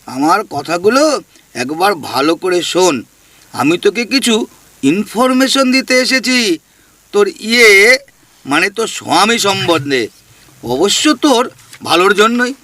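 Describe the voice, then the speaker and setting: A man's voice: high voice, animated, bright, forceful tone, no echo, heavily acted. A man playing a cheeky teasing ghost. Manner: cheeky, mocking, drawn-out teasing. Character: mischievous male ghost, teasing and bossy. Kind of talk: audio drama acting.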